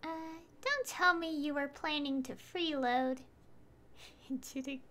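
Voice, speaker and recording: A woman's voice: high voice, takes it slowly, pushed and bright. A woman game streamer chatting casually and reading dialogue aloud in a hesitant, musing way.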